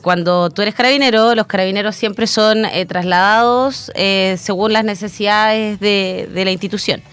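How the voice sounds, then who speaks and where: A woman in her thirties with a Chilean accent, a guest talking through her story in a relaxed interview, pausing often with fillers. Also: mid-range voice, smooth. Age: 30s. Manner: reflective, frequent hesitation fillers. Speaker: guest, newly elected council member. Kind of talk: casual interview conversation.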